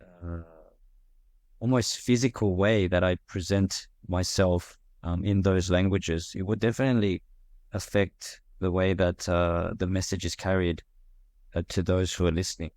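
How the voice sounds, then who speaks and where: A man hosting a podcast, chatting thoughtfully with many fillers and pauses. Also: low voice, steady tone, darkish tone, no echo, studio quality. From Korea. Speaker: podcast host. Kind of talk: casual conversation. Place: Australia.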